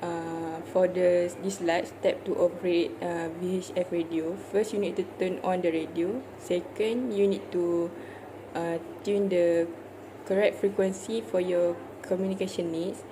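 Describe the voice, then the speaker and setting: A woman's voice: fairly low pitch, steady tone, measured pace. Malaysian woman presenting over heavy noise. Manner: step-by-step, instructional. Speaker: student presenter. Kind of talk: slide presentation read aloud. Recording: noisy amateur voice recording.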